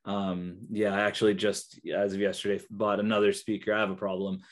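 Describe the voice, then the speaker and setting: A man's voice: on the low side, level tone, fairly quick, a loud crowd. A young man with Kannada as his first language, a guest on a video call interview, talking in a hesitant way full of fillers and restarts. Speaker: interview guest, researcher and therapist.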